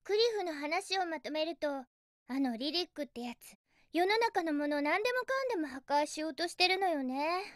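A woman voicing a feisty, bossy but caring young girl hedgehog in a video game, with energetic, girlish delivery. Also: sits high, moderate pace, dry recording, clean studio sound. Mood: thoughtful, chatty. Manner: energetic, girlish, emphatic feminine phrasing. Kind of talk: Japanese video game character voice acting.

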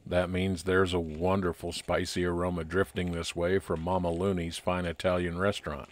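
A man playing a friendly, observant private investigator, narrating an old-time radio detective story in a measured way. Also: low voice, little pitch movement, natural voice. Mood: measured, scene-setting. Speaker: narrator and lead actor.

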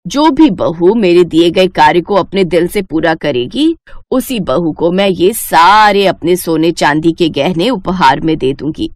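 A woman acts out an elderly mother-in-law from an animated moral tale. She is shrewd and stern, with a lowered voice and a dry, close sound. Every phrase is measured and carries authority. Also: no room sound. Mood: stern, challenging. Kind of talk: animated moral story dialogue.

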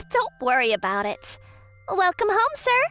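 A woman voicing a bashful but feisty, secretly sweet teenage schoolgirl maid in a manga romcom dub, shy and halting. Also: extremely high pitch, huge pitch swings, sharp tone, heavily acted. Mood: delighted. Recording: music behind the voice.

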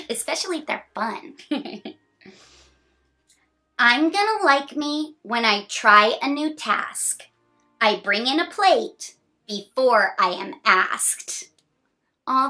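A woman in her thirties reading a picture book aloud to children in an expressive, encouraging, sing-song way, with chatty, smiling asides. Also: a little high. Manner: giggly, smiling delivery. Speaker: children's read-aloud host. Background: music.

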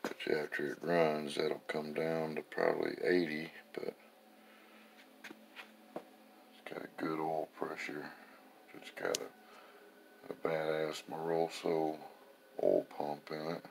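A man with an extremely low voice that has a gravelly fry to it. He talks slowly and casually, like a hobby mechanic walking through his work in the garage. His way of speaking is folksy and plain, peppered with swear words. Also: slight background noise. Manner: profane, folksy, plain-spoken. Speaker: amateur engine builder and vlogger. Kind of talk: casual hands-on garage walkthrough. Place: indoor garage or workshop with concrete floor.

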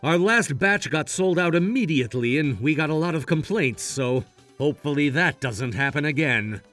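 An earnest young gangster from an anime cartoon. The voice sits a little high and moves freely up and down. He sounds loyal and keen as he addresses viewers, over light music. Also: lively intonation, moderate pace, a bit bright. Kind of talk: anime voice acting, presenting to viewers.

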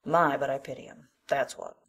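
A woman's voice: extremely low pitch, steady tone, normal speed. A woman playing an opinionated, gossipy older village busybody in a dramatic audiobook, with a blunt, scolding, folksy delivery. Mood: astonished, pitying.

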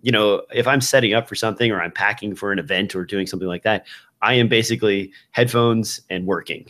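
A man in his thirties with a fairly low voice hosts a podcast. He talks casually about tech gear, speaking closely into a studio microphone. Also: fast talker, fairly bright tone. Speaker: podcast host. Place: small indoor room with shelves of gear. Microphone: broadcast microphone on a boom, close up, with headphones.

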